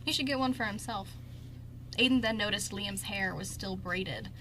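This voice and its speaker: A woman's voice: medium pitch, moderate pace, fairly bright tone, a little hiss or hum, low-quality recording. A woman narrating a scripted story as host of an amateur radio show.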